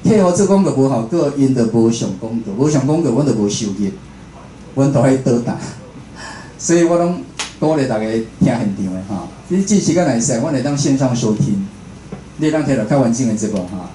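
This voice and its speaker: A Taiwanese man talks to an audience in an informal, conversational way. His voice is mellow and of middling pitch. He repeats phrases and puts questions to listeners, and the room adds echo. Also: expressive, fairly quick. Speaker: radio host and station head giving a talk. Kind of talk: informal public lecture. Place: indoor room, speaking to an audience. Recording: roomy echo, faint background noise.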